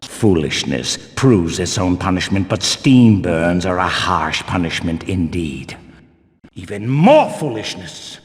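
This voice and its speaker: An actor playing a stern, zealous religious warrior guard in a fantasy video game, speaking in pious, archaic, declamatory phrases. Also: male voice, low voice, sing-song, takes it slowly, heavily acted. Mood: grave, disapproving. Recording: long reverberant hall echo.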